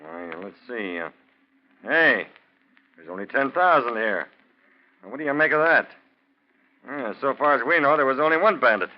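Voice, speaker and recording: An actor playing an unhurried small-town desert sheriff, musing and matter-of-fact, in a 1940s radio drama. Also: male voice, medium pitch, expressive, natural voice. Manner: folksy, musing, brisk about grim business. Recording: muffled 1940s radio broadcast.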